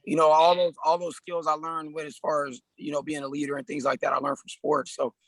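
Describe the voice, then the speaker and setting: A man in his thirties from California, a personal trainer talking about his life as a podcast guest in a relaxed, casual way, often saying "man". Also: fairly high pitch, a little fast, dry recording, low-quality recording. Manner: laid-back, frequent "man" and restarts. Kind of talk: casual conversational interview.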